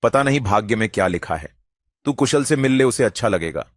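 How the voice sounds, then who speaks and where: A man plays a worried shopkeeper father in a cartoon, an earnest elder brother. The voice sits low and sounds dry and close. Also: animated, fairly quick, no room sound, close to an everyday voice. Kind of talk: cartoon voice acting.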